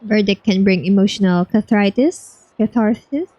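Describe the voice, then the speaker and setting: A woman's voice: mid-range voice, normal speed, dark tone, dry recording, highs cut off. A woman streamer giving casual, informal reaction commentary, talking back to the characters of a game.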